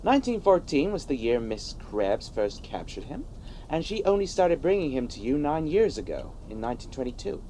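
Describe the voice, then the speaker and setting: A man playing an earnest, nervous young monster-hunting sidekick in a comedy audio drama, expressive in delivery. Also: a little high, normal speed, slight background noise, low-quality recording. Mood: teasing, curious.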